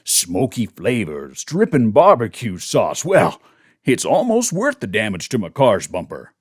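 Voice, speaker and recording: A man voicing a plain-spoken, folksy simple man in a commercial, with drawn-out emphasis. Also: on the low side, huge pitch swings, breathy edge, dry recording, studio quality, exaggerated voice. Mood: earnest, hungry. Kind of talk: commercial voice-over read.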